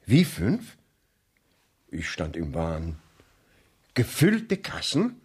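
Husky, formal court official. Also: male voice, deep voice, huge pitch swings, extremely slow, darkish tone, full character voice. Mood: cool, formal. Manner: formal, precise, reserved. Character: measured, polite, stern visiting court official. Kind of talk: 1970s radio play acting.

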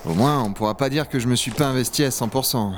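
A man's voice: medium pitch, quick pace, background noise, lightly acted. A man playing a rugged, wry dragon hunter of a frozen future in a science-fiction audio drama. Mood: weary, casual. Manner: gravely reflective narration, familiar offhand banter. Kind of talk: French audio-fiction acting and first-person narration.

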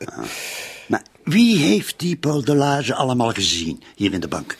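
Police inspector, radio drama. Patient prober, hesitant lead-ins, animated melody, somewhat husky. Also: male voice, medium pitch, unhurried, exaggerated voice. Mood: polite, probing. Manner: hesitant, repeated ja-ja interjections, tactful probing. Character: probing, patient male police inspector, gently insistent. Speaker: actor in a radio play. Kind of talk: radio drama acting, questioning dialogue.

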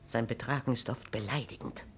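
A woman's voice: rumbling low, fairly quick, slight background noise. Proud, imperious female regent, standard German, formal and emphatic, classic radio-play delivery. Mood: scornful, resentful. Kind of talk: classic German stage-drama radio acting.

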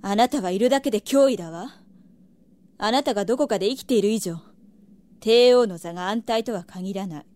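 A woman's voice: mid-range voice, fairly quick, darkish tone, close to an everyday voice. A woman acting a proud, seductive succubus noblewoman in an audio drama, cool and taunting. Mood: cold threat.

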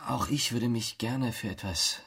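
An actor playing an earnest, timid, lovesick young man in a radio drama, soft and breathy, with a pleading, sentimental manner. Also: medium pitch, unhurried, full character voice. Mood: earnest, self-pitying.

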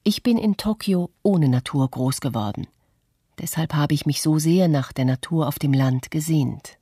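A contemplative woman who loves the countryside read by an actress in a radio play. Her voice is low and husky, soft-edged and mellow, and she speaks in a measured, reflective way. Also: dry recording, studio quality. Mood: reflective, content. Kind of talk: scripted radio-play monologue, read testimony.

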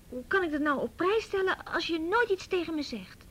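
A woman's voice: very high voice, expressive, moderate pace, fairly bright tone. An actress playing an outspoken, inquisitive young woman with an emotional, probing manner in a naturalistic radio drama. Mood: probing, frustrated. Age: young adult.

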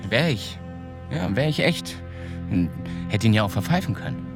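A low, creaky-voiced man as a disillusioned small-town mechanic who has done time. He talks colloquially and haltingly, as if mulling it over, in a radio play with music underneath. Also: sing-song. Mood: bitter, resigned. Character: disillusioned, slangy small-town car mechanic and ex-convict narrator. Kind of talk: first-person radio drama monologue.

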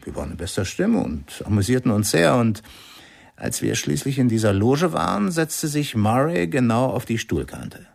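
An actor playing a musician fondly telling wry anecdotes of old band days in a radio play. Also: male voice, medium pitch, sing-song, normal speed, vocal fry. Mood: amused, nostalgic. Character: amused male musician reminiscing about old band days.

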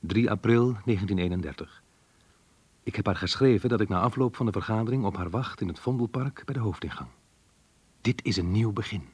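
An actor playing a married man secretly in love in a radio play, restrained but intense, with a hushed, husky voice. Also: fairly low pitch, a little fast. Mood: quietly hopeful.

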